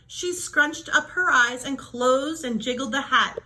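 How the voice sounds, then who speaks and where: A woman's voice: high-pitched, unhurried, bright, forceful tone, low-quality recording. A woman storyteller reading a children's book aloud with dramatic emphasis, stretching out key words.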